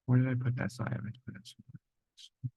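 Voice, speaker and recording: A young man getting over a cold, talking through a coding test in a halting, self-correcting way. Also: medium pitch, monotone, dark tone, breathy edge, dry recording, muffled recording. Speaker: student solving a coding test. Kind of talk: think-aloud coding walkthrough.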